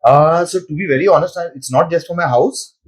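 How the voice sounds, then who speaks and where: Fast husky man. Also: a little high. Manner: rapid, mixes in Hindi words. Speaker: guest. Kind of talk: casual chat.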